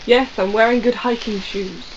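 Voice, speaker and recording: A woman's voice: fairly high pitch, fairly even intonation, normal speed, slight background noise, close to an everyday voice. A woman playing a curious young companion who questions everything with a light sarcastic edge. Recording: lo-fi fan audio drama.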